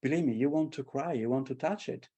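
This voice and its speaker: A man's voice: medium pitch, quick pace. A middle-aged man from Italy with a strong Italian accent, telling stories with passion in a casual conversation. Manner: passionate, animated storytelling. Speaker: artist and tarot creator. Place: London.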